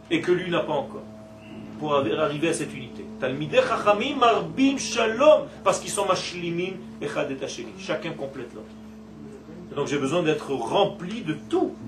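High-voiced man teaching in an echoey room. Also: far from the mic, a little hiss or hum. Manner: animated, playful asides, draws out words. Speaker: Torah teacher. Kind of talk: religious class lecture.

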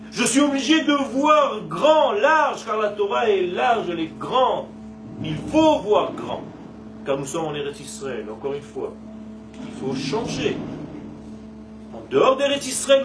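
A man giving an animated religious lesson to a group, with rhetorical questions and drawn-out emphasis. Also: sits high. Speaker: religious teacher giving a Torah class. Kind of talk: lively spoken lesson to a live group. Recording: roomy echo, distant mic, faint noise.

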